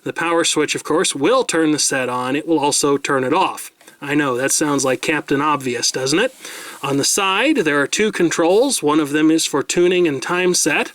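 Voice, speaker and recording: A man's voice: fairly high pitch, normal speed, a bit bright, dry recording. Wordy man reviewing a radio. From United States. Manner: wordy, precise, self-mocking asides. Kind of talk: product review narration. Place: desk in a home room.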